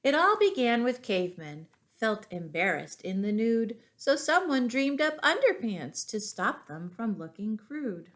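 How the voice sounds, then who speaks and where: A woman reading stories aloud to young children, expressive, pausing to ask them questions. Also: a little high. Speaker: children's storytime reader and teacher.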